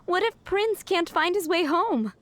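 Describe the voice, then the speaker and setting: A woman's voice: extremely high pitch, animated, a little slow, fairly bright tone, heavily acted. A woman playing a chatty, somewhat scatterbrained female tavern keeper looking for her lost cat. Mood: worried. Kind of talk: video game dialogue. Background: game music.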